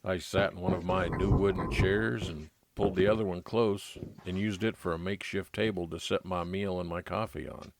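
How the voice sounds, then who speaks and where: A man's voice: sits low, fairly even intonation, moderate pace, hardly any pauses, noisy background. A man playing a wry, world-weary private investigator in an old-time radio noir detective drama. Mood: reflective, wry.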